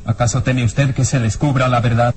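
An actor playing a composed, dutiful police inspector in a vintage radio drama, formal and insistent in manner. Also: male voice, medium pitch, level tone, brisk, darkish tone, slight background noise, natural voice. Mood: firm, unyielding.

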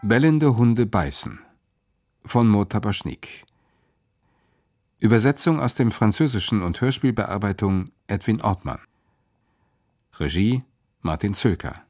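A man's voice: lowish voice, expressive, measured pace, long pauses, darkish tone, no echo, clean studio sound. A man reading scripted radio drama credits as an announcer.

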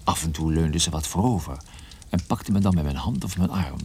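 A man playing a troubled, wry and guarded private detective in a radio play, with a husky voice and a dry, hesitant manner. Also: a bass voice, lively intonation, a little fast, a little mellow, slight background noise. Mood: wry narration. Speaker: lead character and narrator. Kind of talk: radio play narration and dialogue.

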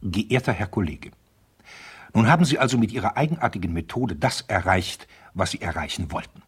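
An actor playing a stern, cynical male divorce lawyer in a radio play, speaking in a formal, pointed and condescending manner with a husky, rough voice. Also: lowish voice, expressive, brisk. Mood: sardonic disapproval. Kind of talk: radio drama letter monologue.

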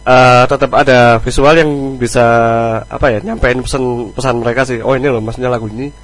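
A man's voice: medium pitch, fairly even intonation, fairly quick. A man chatting casually as a band musician being interviewed, hesitant and thinking aloud.

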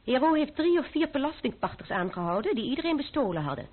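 A woman's voice: sits high, sing-song, fast talker. An actress playing a spirited, self-assured young French noblewoman who teases and mocks in an old-fashioned Dutch radio drama. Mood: teasing, defiant. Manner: arch, mocking, confident. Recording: muffled old radio play recording.

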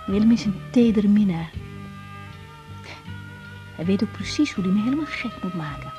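An actress in a radio drama playing a reflective, tender young woman doctor who narrates her thoughts softly and intimately. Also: medium pitch, expressive, moderate pace, muffled recording, soft background music, natural voice. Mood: musing. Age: young adult. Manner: musing inner narration, soft and intimate. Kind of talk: 1980s radio drama acting.

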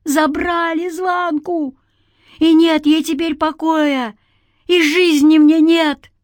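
A young village man full of grief and defiance, from a dark storybook, played by a woman. The voice rises high and emotional. The words are drawn out with anguish, recorded close and dry. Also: fairly even intonation, a little slow, no room sound. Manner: emotional, breaking from whisper into shouting.

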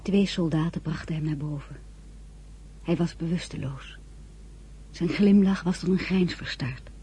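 An actress playing an earnest, tender young woman who tells her own story in a radio drama, hushed and intimate. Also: sits low, unhurried, breathy edge, exaggerated voice. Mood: somber. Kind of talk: dramatic first-person narration, radio acting.

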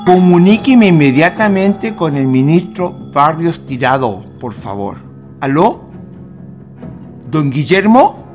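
An actor playing a grave, commanding president in a radio drama, speaking formally and deliberately. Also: male voice, a little high, big pitch swings, darkish tone, soft background music. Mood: urgent, formal.

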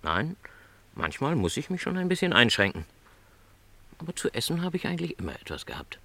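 A man's voice: a little high, huge pitch swings, brisk, bright, forceful tone, dry recording, exaggerated voice. An actor playing a lonely, contented travelling salesman who is no longer young, speaking modestly and politely in a radio play. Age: middle-aged.

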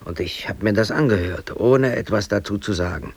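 A man's voice: lowish voice, animated, moderate pace, creaky, slightly rough, exaggerated voice. An actor playing a brooding, aggrieved bakery worker in his thirties, telling his story colloquially and intensely in a radio drama. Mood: bitter recollection. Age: 30s. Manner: colloquial, emotionally intense, self-justifying. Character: brooding, aggrieved East German bakery worker under arrest. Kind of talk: radio drama monologue, confessional narration.

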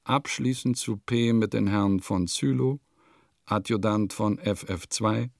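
An actor playing a wry diarist of bygone provincial life in a radio play, with deliberate, declamatory phrasing. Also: male voice, fairly low pitch, fairly even intonation, creaky, a little mellow, no echo, clean studio sound. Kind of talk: literary radio play monologue.

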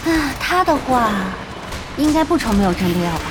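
Curious young girl new to esports, lightish high voice, short questions, audio drama. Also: big pitch swings, brisk, music in the background. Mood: calm, questioning. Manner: questioning, brief replies. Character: curious, composed young woman, newcomer to e-sports.

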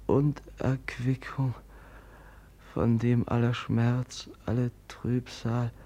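An actor in a 1950s radio drama playing a gentle, trembling young prince keeping vigil, speaking hushed and halting. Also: male voice, on the low side, highs cut off, heavily acted. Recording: old 1950s mono radio recording.

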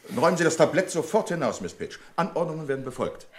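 An actor playing a strict, irritable bank manager in a radio play, curt, officious and sarcastic. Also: male voice, fairly high pitch, very animated, fast. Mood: stern, curt. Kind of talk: German radio play acting.

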